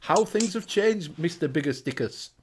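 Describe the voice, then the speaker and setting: Northern english guy hosting a vape stream, kinda high voice, swears a lot, chatty. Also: expressive, moderate pace, noisy background. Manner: swears freely, laughs, reads out viewer names. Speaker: show host. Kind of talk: casual live-stream chat.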